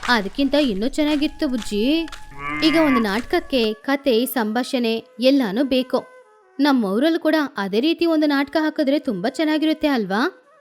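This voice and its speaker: A woman voicing a cheerful, chatty grown-up pigeon aunt in a cartoon story, telling things with lively excitement. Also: high voice, normal speed, natural voice. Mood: excited.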